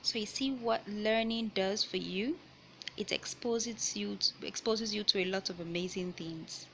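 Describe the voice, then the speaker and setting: A woman reading a children's picture book aloud in a deliberate, teacherly way, pausing to explain and repeat key points. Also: medium pitch, creaky, fairly bright tone, slight background noise, low-quality recording. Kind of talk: read-aloud storytelling with explanatory asides.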